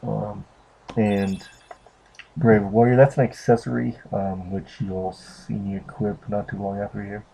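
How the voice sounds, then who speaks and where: A man's voice: lowish voice, level tone, a little slow, vocal fry, mellow, a little hiss or hum, rough recording. A young man giving casual, unscripted video game commentary in a hesitant, rambling, offhand way. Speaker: let's play commentator. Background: video game music.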